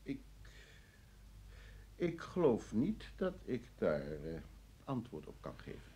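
An evasive, nervous man in a radio play. His is hesitant and defensive. The voice is husky with some fry, and its pitch swings widely. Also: wildly swooping pitch, takes it slowly, warm tone, heavily acted. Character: nervous, evasive ordinary man under pressure.

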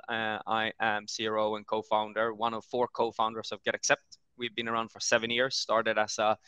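Bright, crisp Swedish man on headset. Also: medium pitch, no echo, highs cut off. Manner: energetic, many fillers and repeated words. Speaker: guest executive. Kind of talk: business webinar conversation. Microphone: headset on video call.

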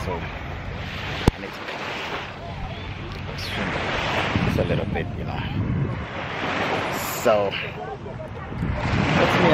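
Young man vlogging over loud beach surf. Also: deep voice. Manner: relaxed, rambling, with frequent "you know". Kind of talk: casual selfie vlog. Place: sunny open beach by the sea, outdoors. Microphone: phone camera at arm's length. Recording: outdoor phone audio with loud wind and surf. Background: loud wind and surf.

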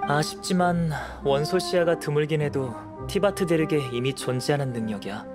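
A male actor voices a young alchemist in an anime game. His fairly light, higher male voice stays composed and measured, with detached scholarly curiosity. Prominent game music plays behind him. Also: natural voice. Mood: analytical, calm. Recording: studio voice over game music.

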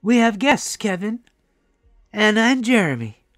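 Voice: mid-range voice, big pitch swings, measured pace, dry recording, studio quality, soft background music, voice pushed up. A narrator voicing a kindly, chatty old grandmother in an audiobook, motherly and emphatic. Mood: reassuring.

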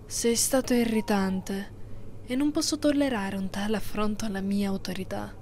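Casting: actress as immortal female vampire mistress for ASMR roleplay; mid-pitched, condescending, possessive, slow near-whispered commands. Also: fairly even intonation, a little mellow, slight background noise, natural voice. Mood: jealous, stern. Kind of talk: ASMR roleplay, breathy close delivery.

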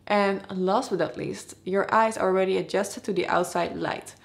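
Young Dutch-accented woman explaining. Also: mid-range voice. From Netherlands. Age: 20s. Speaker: vlogger, airline pilot. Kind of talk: scripted explainer to camera.

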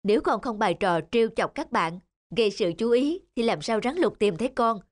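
A woman as a gentle cartoon mother chameleon. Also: fairly high pitch, expressive, brisk, natural voice. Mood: gentle, admonishing. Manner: soothing, moralizing. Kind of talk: animated fairy-tale dub. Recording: music under the voice.

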